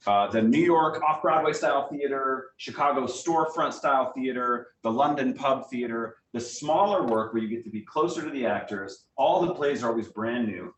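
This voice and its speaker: Echoey, distant man addressing a group. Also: a bit bright. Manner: conversational, explanatory, addresses listeners directly. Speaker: guest speaker, theatre director. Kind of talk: informal talk to a group. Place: meeting room, speaking to a live group. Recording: distant echoey room with rumbling noise.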